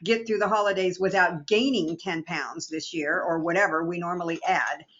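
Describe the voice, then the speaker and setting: A woman's voice: fairly low pitch, normal speed, a bit bright, muffled recording. A woman co-presenting on a business training call, talking about a product in an upbeat, chatty way.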